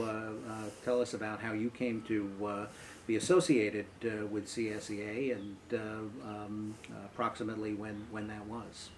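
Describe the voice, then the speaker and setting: An American man acting as interviewer, opening an oral history interview with halting, tentative phrasing. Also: on the low side, a little hiss or hum.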